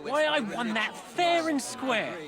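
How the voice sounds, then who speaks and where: Very high-pitched cocky gambler. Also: male voice, lively intonation, slow pace, music in the background, heavily acted. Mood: defiant. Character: cocky, defiant Victorian street gambler. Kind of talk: video game cutscene acting.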